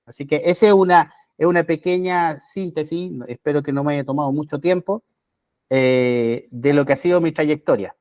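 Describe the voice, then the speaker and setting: Chilean man, slightly high voice, dry close recording, halting delivery. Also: darkish tone, no echo. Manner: halting, restarts words. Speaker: podcast co-host. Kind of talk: casual chat.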